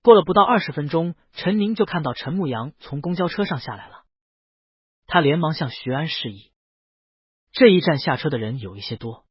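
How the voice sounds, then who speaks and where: A man narrates a romance story in a fairly high, husky voice with lively swings of pitch. The recording is close and dull, as in a lo-fi audiobook. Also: fairly quick, no room sound. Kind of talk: scripted narration of a romance novel. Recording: dull, lo-fi, close dry sound.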